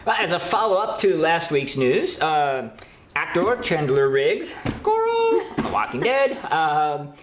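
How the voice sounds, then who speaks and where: A man co-hosting a casual news chat, animated and playfully opinionated. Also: a little high, measured pace. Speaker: co-host of a horror news show. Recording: roomy echo with faint noise.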